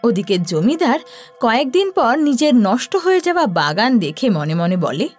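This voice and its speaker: A woman narrating a scripted horror story in an expressive storytelling manner. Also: fairly high pitch, normal speed, soft background music.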